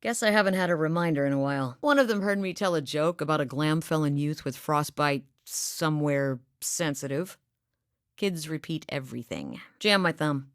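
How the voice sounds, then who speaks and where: An actress voicing a steady, blunt woman hunter from a far northern village, with a matter-of-fact manner and dry humor, in a studio game recording. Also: low voice, moderate pace, dry recording, lightly acted. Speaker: game character voice lines. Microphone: close studio mic.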